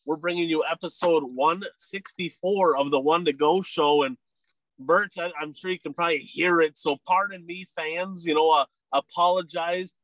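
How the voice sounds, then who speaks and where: A man with a stuffed-up, nasal voice from a head cold hosts a racing podcast, chatting in a loose, upbeat way full of restarts. His voice sits a bit high for a man, and the recording is close and dry, with no room sound. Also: fairly even intonation, normal speed, a bit bright, no room sound, poor audio. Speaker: podcast host. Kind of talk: casual racing podcast chat.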